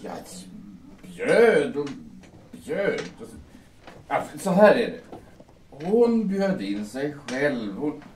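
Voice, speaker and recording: Man playing besotted fiancé, slightly high voice, hesitant, placating, audio drama, echoey room. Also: sing-song, long pauses, darkish tone. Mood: explaining. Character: Vallette, besotted fiancé defending his bride-to-be. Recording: echoey room, distant, faint noise.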